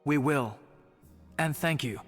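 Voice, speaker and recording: A man's voice: on the high side, slow pace, soft background music. An actor playing an earnest, cautious male fantasy adventurer in a video game, speaking with concern.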